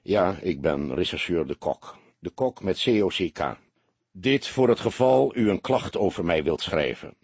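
A laconic male detective as a man reads him in an audiobook. He is calm and unhurried, with a dry, needling politeness and a husky voice in a very dry recording. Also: low voice, dry recording, pitched below the performer's own voice, exaggerated voice. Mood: dry, resigned. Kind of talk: audiobook character voice.

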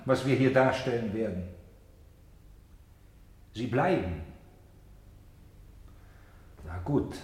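Solemn stage narrator, sweeping intonation, echoing. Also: male voice, on the low side. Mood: grave, challenging. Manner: declamatory, deliberate, emphatic pauses. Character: solemn, insistent male stage narrator presenting a grave play. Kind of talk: theatrical German radio play, addressing the audience.

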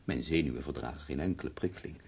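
Very deep-voiced, ailing, bitter poet. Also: male voice, creaky, a little mellow, breathy edge, heavily acted. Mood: ailing, courteous. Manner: slow, weary, literary and sardonic. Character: gravely ill, half-paralysed, bitterly ironic male poet. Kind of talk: radio drama monologue and dialogue.

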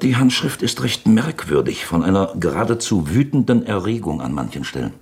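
An actor playing a brooding elderly uncle in a radio drama, husky, speaking in a halting, pensive and uneasy way. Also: male voice, on the low side, darkish tone, full character voice. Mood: uneasy, gripped. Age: older.

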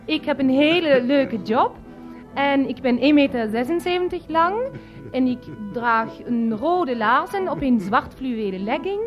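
Slow, high-voiced earnest young lodger. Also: female voice, lively intonation. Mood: earnest, halting. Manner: halting, earnest, careful. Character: earnest halting young German woman lodger, twenty-six. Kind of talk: radio play acting. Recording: dull lo-fi radio recording, faint music.

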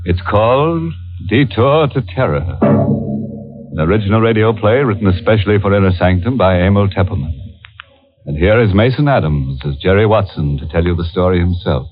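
A man's voice: low-pitched, lively intonation, normal speed, soft background music. A man playing a sardonic, mock-polite horror host on a 1940s radio mystery, theatrical and gleefully macabre. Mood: ominous. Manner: theatrical, alliterative, tongue-in-cheek macabre. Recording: muffled vintage 1940s radio broadcast.

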